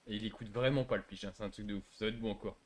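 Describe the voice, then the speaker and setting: A man's voice: fairly low pitch, fairly even intonation, rapid-fire, a little mellow. A man chatting casually as a player in online game voice chat, with a mocking, slangy way of talking.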